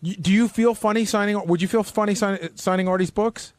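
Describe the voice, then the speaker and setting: A man's voice: high voice, fairly even intonation, brisk, dry recording. A middle-aged man hosting a talk show, arguing his points insistently in unscripted radio talk.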